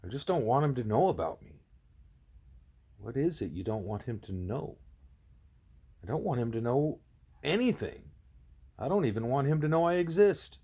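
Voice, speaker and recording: Man reading as a husky, stubborn young girl pupil. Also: mid-range voice, lively intonation, moderate pace, long pauses, mellow, voice pushed up. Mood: guarded, insistent.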